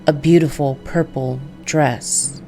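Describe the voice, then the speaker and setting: A weary male noir antihero, read by a woman narrates a visual novel in a low voice. The delivery is brooding, measured and wary, with faint music underneath. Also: fairly even intonation, mellow.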